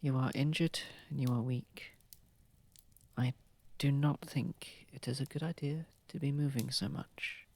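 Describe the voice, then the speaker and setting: A man playing a posh, gentle, protective vampire in an ASMR roleplay, soft-spoken and often dropping into a whisper, with formal wording. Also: mid-range voice, fairly even intonation, a little slow. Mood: tender, careful. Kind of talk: ASMR roleplay audio drama.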